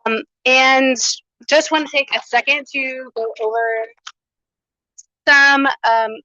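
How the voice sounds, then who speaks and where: A woman with a fairly high, loud voice hosts a conference, running through welcomes and housekeeping with eager enthusiasm. She speaks without a microphone in a small room. The sound is dry and a little dull. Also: steady tone, slow pace, dry recording. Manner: upbeat, enthusiastic, loud unamplified voice. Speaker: conference coordinator and host. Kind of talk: welcoming remarks and housekeeping announcements. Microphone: no microphone, room recording.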